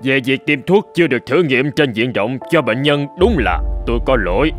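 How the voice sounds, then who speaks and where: A man acts out a middle-aged cartoon professor of medicine, earnest and hopeful. He speaks at a medium pitch in measured, solemn phrases, with music playing behind him. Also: lively intonation, lightly acted. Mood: firm resolve. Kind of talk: dramatic animated-film dubbing.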